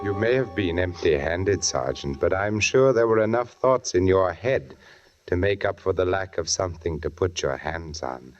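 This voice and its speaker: An actor playing a sly, probing British newspaperman in a 1940s radio suspense drama, measured and insinuating in manner. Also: deep voice, a little hiss or hum, close to an everyday voice. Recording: muffled 1940s radio recording.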